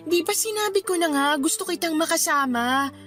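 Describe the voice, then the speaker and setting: A woman's voice: very high-pitched, expressive, unhurried, a bit bright, soft background music, heavily acted. A woman playing a hurt, stubborn young girlfriend in a radio drama, with a pouty, reproachful manner. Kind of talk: Tagalog radio drama acting.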